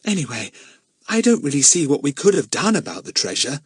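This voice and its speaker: A man's voice: fairly high pitch, very animated, fairly quick, husky, no echo, clean studio sound, pitched above the performer's own voice. Brief: male narrator doing a careful, timid girl, storybook. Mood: cautious. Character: cautious, timid young girl.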